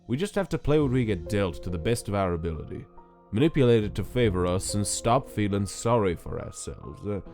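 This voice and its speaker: A man's voice: lowish voice, lively intonation, fairly quick, a little mellow. A man voicing a smug, cynical cartoon casino boss with a bitterness underneath, in a sly, drawling, theatrical manner. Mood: guarded. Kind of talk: scripted comic dub character acting. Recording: music under the voice.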